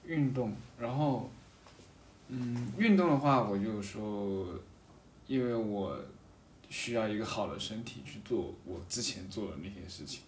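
A man chatting reflectively in a hesitant, halting way, often repeating himself as he searches for words. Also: lowish voice, animated, a little mellow. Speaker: podcast guest, painter. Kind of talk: reflective casual chat. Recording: distant mic, echoey room, faint background noise.

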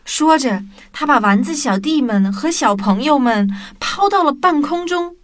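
Lady reading a kids story, high voice, really expressive, music playing along. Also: measured pace. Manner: expressive, addressing young children. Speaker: storyteller and show presenter. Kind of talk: animated scripted children's story narration.

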